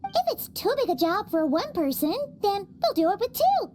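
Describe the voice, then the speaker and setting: A woman voicing a small, cheerful, childlike fairy companion in a video game, with a squeaky, excitable delivery. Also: normal speed, a little hiss or hum. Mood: earnest, upbeat. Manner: talks about herself in third person, excitable. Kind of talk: video game cutscene voice acting. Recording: background music behind the voice.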